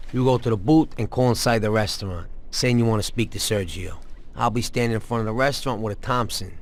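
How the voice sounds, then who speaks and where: A low-pitched American mobster henchman, played by a man in a game cutscene. He is confident and casually ruthless, talking through violent work as if it were routine. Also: lightly acted. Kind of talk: video game cutscene acting.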